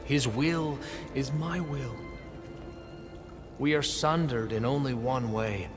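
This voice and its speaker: An actor playing a pompous, self-worshipping sect leader in a video game, with a measured, theatrical delivery. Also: male voice, mid-range voice, lightly acted. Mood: grandiose. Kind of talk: video game cutscene acting. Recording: loud music behind the voice. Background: cinematic music.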